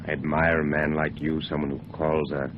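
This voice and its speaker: An actor playing a smug, menacing small-town boss in an old radio noir drama, talking with hesitant, veiled threats. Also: male voice, extremely low pitch, level tone, fairly quick. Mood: veiled menace. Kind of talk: 1950s radio noir acting. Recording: muffled, noisy vintage radio recording.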